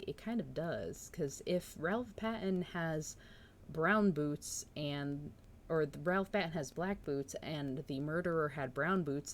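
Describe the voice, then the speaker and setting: A woman reading a story aloud on a live stream and commenting on it casually. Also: deep voice. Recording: noticeable background noise.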